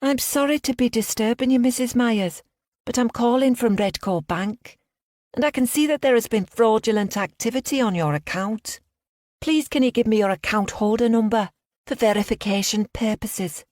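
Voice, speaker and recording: A woman's voice: on the high side, normal speed, darkish tone, no echo, voice pushed up, natural voice. Scam caller posing as a bank agent, strong Liverpudlian accent, raised and formal, as a woman narrator puts her on; dry, close read. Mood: smooth, insistent. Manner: overly polite, formal.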